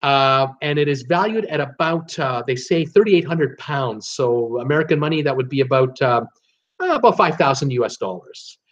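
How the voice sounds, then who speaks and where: A man's voice: medium pitch, lively intonation, moderate pace. A man, telling stories casually on a livestream in a rambling, hesitant way, repeating words as he thinks aloud. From Canada. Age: 62, early sixties. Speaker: host of a cruise travel livestream. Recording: dull, lo-fi livestream audio.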